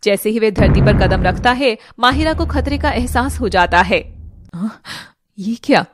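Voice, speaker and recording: A woman's voice: a little high, lively intonation, moderate pace, background noise. A woman narrator reading a scripted story in a dramatic storytelling style.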